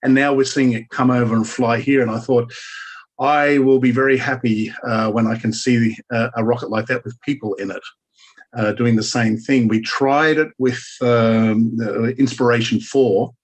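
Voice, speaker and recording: Husky Australian man telling stories. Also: mid-range voice, steady tone, moderate pace. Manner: rambling storyteller, many restarts. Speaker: guest. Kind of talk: casual conversation. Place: lives in Germany.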